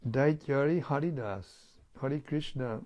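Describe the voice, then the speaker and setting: A hoarse man losing his voice, hosting a daily scripture reading in a relaxed, chatty way over an online video stream. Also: medium pitch, unhurried, highs cut off. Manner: relaxed, chatty, with light chuckles. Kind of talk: casual talk and Q&A on scripture.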